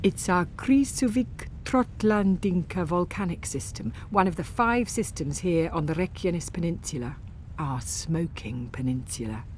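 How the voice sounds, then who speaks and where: A woman narrating a story in the first person, with an expressive, wry and theatrical delivery. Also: lowish voice, a little slow, a little hiss or hum. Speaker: audiobook narrator.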